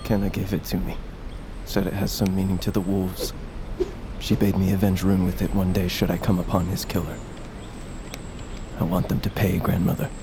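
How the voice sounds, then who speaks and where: A man's voice: sits low, level tone, moderate pace, long pauses. A young man acting in an audio drama as a headstrong, vengeful grandson and warrior, speaking with earnest insistence. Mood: bitter, vengeful. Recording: clearly noisy ambience behind the voice.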